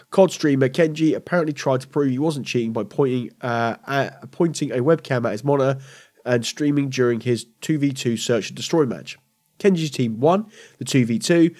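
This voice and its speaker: A man's voice: medium pitch, darkish tone. A man hosting a casual solo gaming news podcast, stuffy with a cold, rambling and correcting himself as he goes. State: congested with a cold. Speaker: podcast host.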